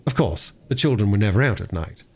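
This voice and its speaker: A man narrating a story as an audiobook reader, with expressive delivery. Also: fairly low pitch, brisk, husky.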